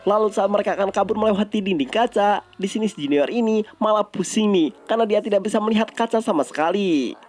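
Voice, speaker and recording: A man's voice: high voice, fast talker, a little mellow. A man with an Indonesian accent narrating a story recap in a casual, colloquial storytelling style.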